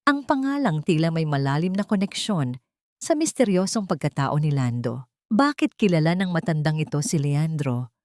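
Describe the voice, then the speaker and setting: A woman with a Filipino accent narrating a scripted dramatic story in a measured, solemn way. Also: on the low side, darkish tone, no room sound, studio quality.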